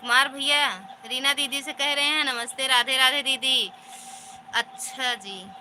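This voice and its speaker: A woman's voice: high-pitched, fairly even intonation, a little slow, slight background noise. A woman with a rural north Indian Hindi accent hosting a live stream, reading out viewers' comments and thanking them one by one in a casual, repetitive way. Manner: warm, repetitive greetings and thanks.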